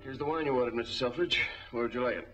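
A man's voice: medium pitch, fast talker, over loud music, lightly acted. An actor playing a chatty, deferential hotel bellman in a 1940s film, polite and talkative. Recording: muffled 1940s film soundtrack.

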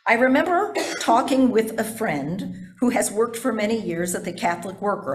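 An older woman pastor delivering a sermon from notes in deliberate, measured phrases, with asides to her congregation. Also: medium pitch. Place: indoors in a church sanctuary at a pulpit. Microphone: gooseneck pulpit microphone. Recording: echoey church sanctuary.

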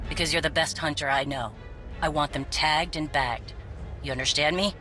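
Game role: actress as resolute female warrior chief, firm orders, proud, clear music underneath. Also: low voice, moderate pace, lightly acted. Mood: stern. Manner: firm, commanding. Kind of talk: dramatic video game acting. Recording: music behind dialogue.

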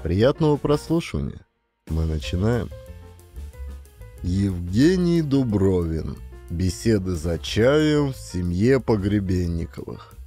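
Low, mellow male audiobook narrator over music. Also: very animated, slow pace. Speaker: narrator and actor of an audio play. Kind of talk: scripted narration, audiobook storytelling.

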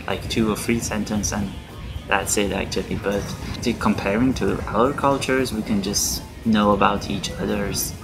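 A man's voice: on the low side, fairly even intonation, moderate pace, creaky, music in the background, poor audio. A man from Iran, an international student, giving thoughtful interview answers in a university lobby.

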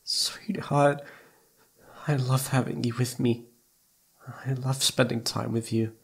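A man voicing a shy, adoring young boyfriend in an ASMR roleplay, with soft, breathy, pleading, hesitant speech. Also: mid-range voice, steady tone, takes it slowly, heavily acted. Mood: longing, tender.

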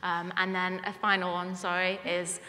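A woman speaking as a panelist at a live conference discussion, measured and practical, listing points one by one. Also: lowish voice, completely flat delivery, pushed and bright. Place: large conference hall stage. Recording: hall with long reverb.

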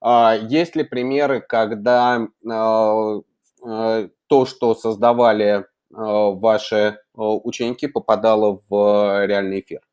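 A middle-aged man asking interview questions on a video call, picking his words deliberately and with hesitation. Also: lowish voice, little pitch movement, a little mellow, clear, resonant tone. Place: indoors, small room, video call.

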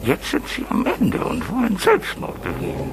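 An actor playing a mysterious, menacing male blackmailer in a crime radio play, whispery, deliberate and commanding. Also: high-pitched, wildly swooping pitch, vocal fry, heavily acted. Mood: cold menace. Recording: noisy vehicle ambience.

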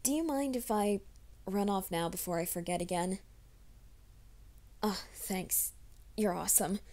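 A woman's voice: mid-range voice, unhurried, close to an everyday voice. Woman voicing a whispering young werewolf man. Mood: nervous, polite. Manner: mostly hushed, urgent whispering to himself. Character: young male werewolf soldier, anxious and secretive. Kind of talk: ASMR roleplay audio.

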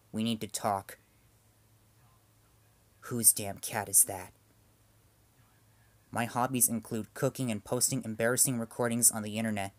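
A man voicing a stern, bossy older sister who scolds in a cold, flat way. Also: on the low side, voice pushed down, lightly acted.

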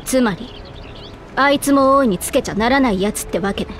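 A woman's voice: on the high side, fairly quick, slight background noise, close to an everyday voice. An actress playing a forthright female character in a fantasy game, with a pointed, questioning manner. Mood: inquisitive.

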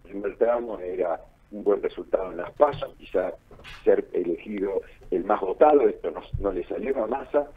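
A man's voice: low-pitched, moderate pace, husky. A man with an Argentine accent giving political analysis in a radio interview, repeating phrases for emphasis. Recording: narrow phone-like line, muffled.

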